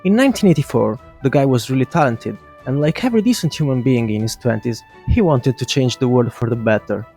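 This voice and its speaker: A man's voice: medium pitch, animated, brisk, few pauses, warm tone. A man narrating a scripted video essay in a brash, opinionated way, swearing casually and addressing viewers directly. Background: music.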